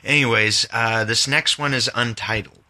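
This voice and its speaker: A man hosting his own poetry podcast, reading his poems and talking about them in an informal, candid, halting way. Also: mid-range voice, pushed and bright. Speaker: podcast host and poet.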